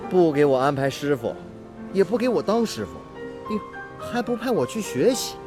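A man's voice: a little high, sing-song, normal speed, music in the background. A man acting a modest young factory apprentice in a radio drama, earnest and eager with a hesitant manner. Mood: playful grumbling.

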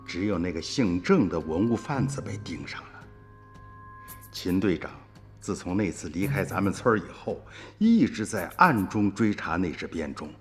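A man's voice: low-pitched, sing-song, moderate pace. A man playing an old peasant grandfather in a radio drama, earthy and colloquial, emotional in his storytelling. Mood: recounting. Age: older. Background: music.

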